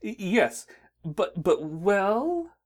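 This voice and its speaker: A woman's voice: medium pitch, big pitch swings, very slow, voice pushed up, full character voice. A woman voicing a fussy, anxious male angel who stammers when flustered, in an audiobook reading.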